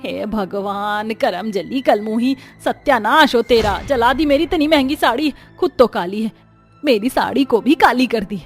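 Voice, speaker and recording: A woman voicing a stern, proud older mother-in-law in an animated story, scolding in a sharp-tongued way. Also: a little high, measured pace, soft background music. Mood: furious.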